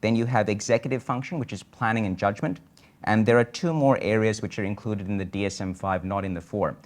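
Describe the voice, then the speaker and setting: A man giving a conference lecture on a medical topic, explaining in a measured way with frequent filler sounds. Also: on the low side.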